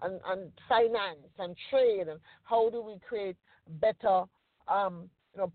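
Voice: medium pitch, no echo. A podcast host from the Caribbean speaks in an earnest, thoughtful way and keeps checking in with listeners. The sound is thin and phone-like, and the voice is dry and close with no room around it. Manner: earnest, reflective, with frequent "you know" and "okay?" checks. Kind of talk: casual discussion.

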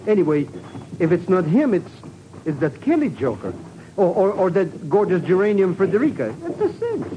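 An actor playing a brash, slow-witted comic sidekick who blusters and stammers in slang, in a 1950s radio drama. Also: male voice, high-pitched, very animated, highs cut off. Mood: cocky, puzzled. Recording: faintly noisy old radio recording.

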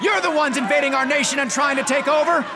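An actor playing an angry, defiant cult member in a radio drama, strident and indignant. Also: male voice, very high-pitched, fast talker, noisy background. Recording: shouting crowd behind the voice.

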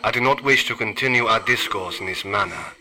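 Sinister dealer, male, cold and clipped, bright edgy tone, hushed menace, played by a man. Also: lowish voice, brisk. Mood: cold, menacing. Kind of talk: radio play acting.

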